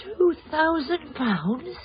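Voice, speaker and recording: An earthy, suspicious wife in a radio play. Her voice is very high and swoops widely, with blunt everyday phrasing and slightly dull broadcast sound. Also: sing-song, very slow, full character voice. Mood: incredulous, wary. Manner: blunt, colloquial. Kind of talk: radio drama acting.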